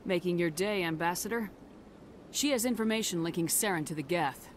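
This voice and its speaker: A woman's voice: fairly low pitch, fairly quick, slight background noise, lightly acted. An actress voicing a firm, principled female military commander in a video game, direct and commanding. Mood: composed.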